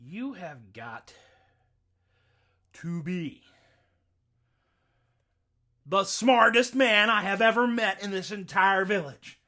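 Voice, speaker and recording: A woman's voice: on the low side, sing-song, slow, pauses often, a bit bright, full character voice. Woman doing a weary old-fashioned village sheriff. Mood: delighted. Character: weary middle-aged village sheriff, money-minded.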